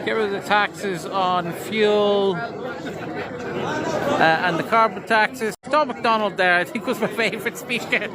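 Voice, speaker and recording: A man's voice: sits high, takes it slowly. A Dublin man commenting chattily and stammering at a street protest. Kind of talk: casual commentary to camera. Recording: loud crowd behind.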